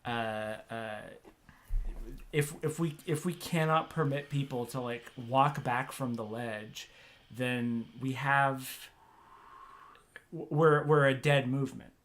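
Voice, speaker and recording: A woman's voice: very deep voice, steady tone, slow pace. A woman host from Oklahoma on a livestream, talking in a rambling, hesitant way with many restarts. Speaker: streamer host. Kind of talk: casual livestream chat.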